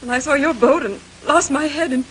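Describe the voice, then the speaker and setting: Actress as a high-voiced, distraught wife in old radio drama. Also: female voice, lightly acted. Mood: anxious confession. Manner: halting, melodramatic, pleading. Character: distraught young wife confessing to an accidental killing. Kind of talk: 1930s radio drama acting. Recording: noisy vintage radio recording.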